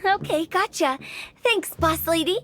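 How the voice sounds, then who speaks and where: A woman's voice: extremely high pitch, lively intonation, a little slow, sharp tone, faint music behind, heavily acted. A woman voicing a cheeky, playful young funeral parlor director in a video game, with a theatrical, teasing manner. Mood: playful, boastful. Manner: theatrical, teasing, self-praising.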